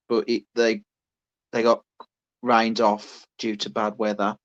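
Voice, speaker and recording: A man co-hosts a casual podcast, telling long, rambling stories full of restarts. His mid-pitched voice stays flat and even, with little rise and fall. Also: lo-fi sound. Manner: rambling, many restarts, unhurried. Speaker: podcast co-host. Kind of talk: casual chat.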